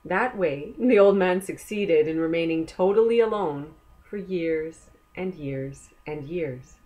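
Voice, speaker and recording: A woman reading a children's book aloud as a storyteller, gently inviting young listeners along with questions. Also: on the low side, slow pace, low-quality recording.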